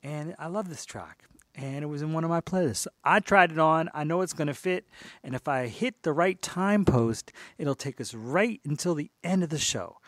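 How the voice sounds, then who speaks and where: A man's voice: fairly high pitch, lively intonation, normal speed, a bit bright, dry recording. A man hosting a music radio show, talking casually and off the cuff in a rambling, playful way, as if thinking aloud. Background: faint-to-clear music bed.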